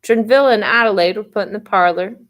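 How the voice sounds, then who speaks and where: A woman's voice: medium pitch, fast talker, a bit bright. Woman reading a novel aloud. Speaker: reader of an audiobook chapter. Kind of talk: expressive storybook narration of a novel in first person.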